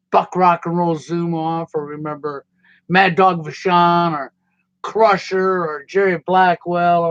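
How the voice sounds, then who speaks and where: A man's voice: on the high side, clean tone, no room sound. A middle-aged American man telling a rambling personal story in a folksy, casual way with loose grammar. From United States. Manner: folksy, conversational, digressive, nonstandard grammar. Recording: slightly dull, dry lo-fi sound.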